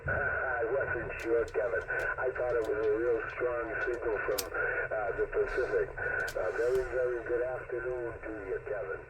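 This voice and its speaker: A man's voice: mid-range voice, steady tone, normal speed, pauses often. Man chatting over noisy shortwave radio. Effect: heard over radio. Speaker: radio operator. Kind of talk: casual on-air radio chat.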